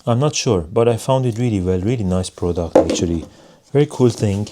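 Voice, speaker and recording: A man giving a casual hands-on product review in measured, non-native English phrasing. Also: lowish voice, heavy background noise. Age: adult.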